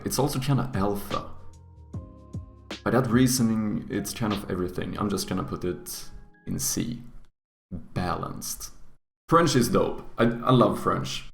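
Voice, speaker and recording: A man's voice: sits low, vocal fry, roomy sound. A young man with a Swedish accent, a native Swedish speaker, giving casual, joking tier-list commentary with teasing, mock-harsh asides. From Sweden. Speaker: YouTuber.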